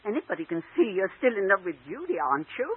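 An actress in an old-time radio drama playing a chatty, fond, meddling aunt who gently scolds. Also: female voice, medium pitch, sing-song, fast, breathy edge, no room sound. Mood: knowing, fond. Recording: old radio show, narrow phone-like sound.

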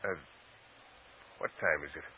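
A man's voice: unhurried, a little hiss or hum, close to an everyday voice. An actor playing a guarded, scheming man living under a false identity, terse and hesitant, in 1940s radio drama style. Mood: tender, preoccupied. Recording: muffled, lo-fi old radio recording.

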